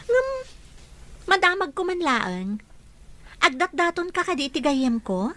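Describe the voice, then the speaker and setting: A woman's voice: high voice, sing-song, a little slow, bright, forceful tone, heavily acted. A woman playing a sweet dutiful daughter. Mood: polite. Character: dutiful young woman Jonah, kind and polite. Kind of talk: radio drama acting.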